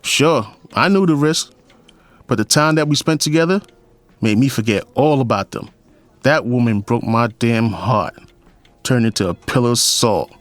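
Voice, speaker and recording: A man's voice: medium pitch, normal speed, no room sound, lightly acted. A man playing a lonely, wry, middle-aged police captain who narrates his story in an audio drama. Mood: wistful. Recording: faint music behind the voice.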